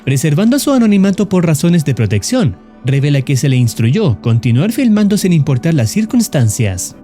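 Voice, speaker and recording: Mellow-voiced man narrating a horror story. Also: on the high side, sing-song, moderate pace, faint music behind. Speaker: YouTube horror channel narrator.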